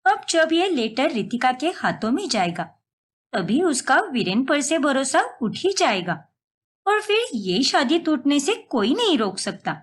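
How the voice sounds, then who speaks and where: Woman acting a scheming, high-voiced young sister-in-law. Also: very animated, measured pace. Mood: plotting. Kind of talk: Hindi cartoon family melodrama acting.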